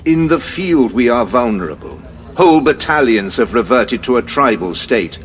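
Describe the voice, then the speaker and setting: An actor playing a grave male general in an audio drama, briefing in a measured, formal way. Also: medium pitch, animated, a little hiss or hum, lightly acted.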